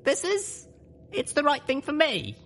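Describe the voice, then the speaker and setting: An earnest young mare from a storybook reading, performed by a man. The voice is pitched very high and sounds gentle and selfless. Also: huge pitch swings, measured pace, exaggerated voice. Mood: sad, resigned. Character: Littlepip, young female pony, earnest, selfless, tearful heroine.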